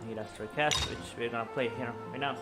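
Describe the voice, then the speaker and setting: A man's voice: mid-range voice, fairly even intonation, very fast. A man livestreaming a game, chatting casually, his voice sitting distant beneath the game's music. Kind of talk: casual stream chat.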